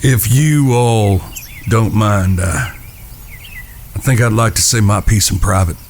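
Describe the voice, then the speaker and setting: Serious protective cowboy, earnest, audio drama. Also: male voice, fairly low pitch, a little slow, slight background noise. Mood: solemn. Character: grave, protective cowboy outlaw, eldest-brother type. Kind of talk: western audio drama acting.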